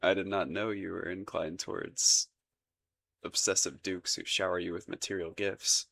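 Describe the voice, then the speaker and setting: A man's voice: sits low, flat delivery, moderate pace, gravelly, pushed and bright, a little hiss or hum, heavily acted. A man acting a shy, lovestruck young crown prince in a romantic roleplay audio, hesitant and stammering, with formal courtly phrasing. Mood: curious, embarrassed.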